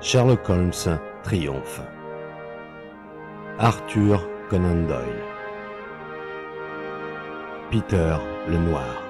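A man reading a classic novel aloud as an audiobook, with measured, expressive storytelling. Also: low voice, music in the background. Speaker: audiobook reader. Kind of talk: scripted narration.

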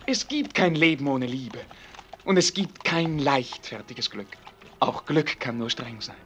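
An actor playing an earnest, romantic young prince in a radio drama, speaking in a lofty, fervent way. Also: male voice, mid-range voice, lively intonation, unhurried, a bit bright, slight background noise. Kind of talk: German radio drama acting.

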